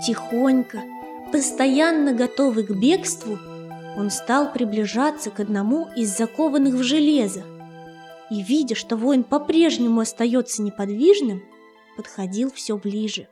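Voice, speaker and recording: A woman narrating a children's tale as an audiobook, in an expressive, measured literary manner. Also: on the high side, soft background music. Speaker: storyteller, narrator.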